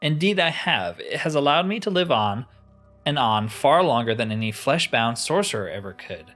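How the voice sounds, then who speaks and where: A haughty ancient enchanter living as a crystal, put on by a man reading a game aloud. He is pompous and theatrical, with a mid-pitched voice that moves up and down a lot. Music plays softly behind. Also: very animated, normal speed. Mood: lofty, boastful. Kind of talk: reading video game dialogue aloud in character.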